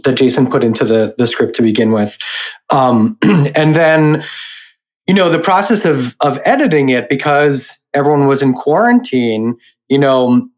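Informal man talking, mid-pitched voice. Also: poor audio. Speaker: director and audio editor, interview guest. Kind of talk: conversational explanation.

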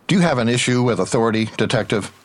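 Guy as smug by-the-book cop grilling someone, medium pitch, formal but needling, audio drama. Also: expressive, fast, lightly acted. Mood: officious, smug. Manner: formal, pressing, insinuating. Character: needling, officious male internal-affairs detective interrogating a colleague.

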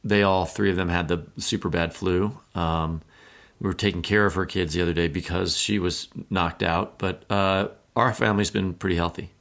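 A man's voice: low voice, steady tone, normal speed, a bit bright. An American man co-hosting a podcast in a casual, conversational style. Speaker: podcast co-host.

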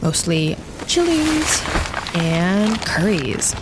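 A practical woman photographer out in the winter wilderness. She talks slowly and plainly, keeping it low-key and hushed, as in a naturalistic audio drama. Clear outdoor ambience sits behind her. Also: big pitch swings, very noisy, heavily acted. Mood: subdued, practical.

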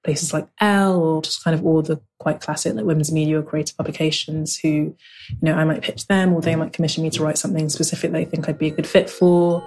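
Low, even-voiced woman. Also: fast talker. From Nigeria, raised in South London. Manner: relaxed, many fillers like "kind of". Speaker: interviewee, writer. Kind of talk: reflective interview talk.

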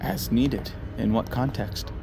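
Audio drama role: awestruck male researcher, mid-pitched, eager, halting delivery. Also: creaky, over music. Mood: uneasy questioning. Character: awestruck, earnest, inquisitive male institute employee.

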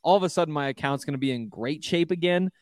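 Smooth-voiced man, wry and rambling. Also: fairly high pitch, fast, darkish tone, dry recording. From Montana. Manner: wry, rambling, self-deprecating. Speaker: podcast co-host. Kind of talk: casual sports betting chat. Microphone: video call.

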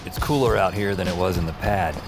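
A man playing a lonely, deadpan loner who narrates his own life in an audio drama. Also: deep voice, brisk, vocal fry. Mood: wry, downcast. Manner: deadpan, wry. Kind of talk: first-person scripted narration, audio fiction. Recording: music under the voice.

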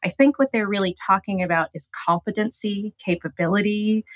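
A woman speaking as an expert guest on a radio interview, explaining ideas in an articulate, measured way. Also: medium pitch, dry recording. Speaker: gerontologist guest.

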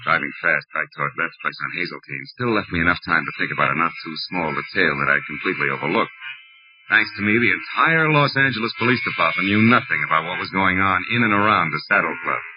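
An American actor playing a shrewd, wisecracking private detective in a vintage radio drama, with clipped, pressing delivery. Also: male voice, low voice, animated, quick pace, very noisy. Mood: brooding narration. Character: hard-boiled, shrewd private detective, adult man. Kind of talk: 1940s radio detective drama acting and narration. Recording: muffled, dull old-time radio recording.

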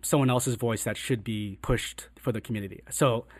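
A man, a writer and director, talking reflectively in a casual panel conversation, halting with many fillers and restarts. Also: medium pitch, fairly even intonation, dry recording, studio quality.

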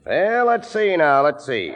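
An actor playing a fussy small-town funeral director in a folksy, businesslike way, in 1940s radio drama style. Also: male voice, on the high side, huge pitch swings, measured pace, a bit bright, faint music behind, full character voice. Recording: dull vintage 1940s radio recording.

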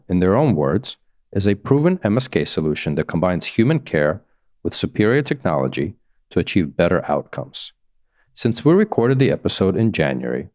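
A man's voice: low voice, a little mellow, no room sound, clean studio sound. A man hosting a podcast, reading an upbeat intro and then asking questions in an enthusiastic way. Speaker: podcast host. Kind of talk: scripted intro and casual interview questions.

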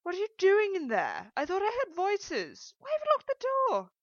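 A woman voicing an overwrought, demanding mother in an audiobook, shouting and wailing in a thick, nasal voice. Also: very high voice, huge pitch swings, slow, no room sound, highs cut off, pitched above the performer's own voice, full character voice. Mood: suspicious, shouting.

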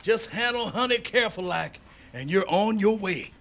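An actor playing a brusque, skeptical racehorse owner in an old-time radio play. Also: male voice, high voice, expressive, normal speed, a bit bright. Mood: hearty. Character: gruff skeptical middle-aged male racehorse owner. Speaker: horse owner. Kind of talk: 1940s radio acting.

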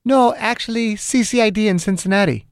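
Assertive female doctor, played by a male narrator: voice lifted high, polite, measured, professional, dry audiobook sound. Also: clean tone, no echo. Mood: polite, firm. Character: polite but assertive woman government disease doctor. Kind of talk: audiobook character dialogue.

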